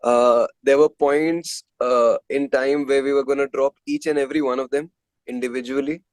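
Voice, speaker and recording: A man's voice: medium pitch, steady tone, normal speed, no room sound. A man with an Indian accent chatting candidly as a musician being interviewed, emphatically repeating phrases for stress. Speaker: metal band guitarist and composer, interview guest.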